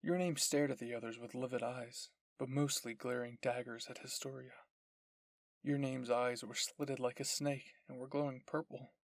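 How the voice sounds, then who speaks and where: A narrator reads a dramatic scripted story. The pitch sits in the middle. The sound is dry and close, with hardly any room to it. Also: fairly even intonation, moderate pace, dry recording. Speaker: narrator and voice of all characters.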